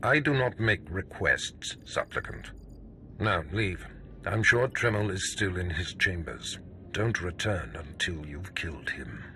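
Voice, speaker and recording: Slow, deep, forceful Sith master. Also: male voice, expressive, soft background music, full character voice. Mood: menacing contempt. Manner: imperious, deliberate, clipped pronouncements. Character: stern, contemptuous Sith overseer, a cold commanding male master. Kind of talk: video game cutscene acting.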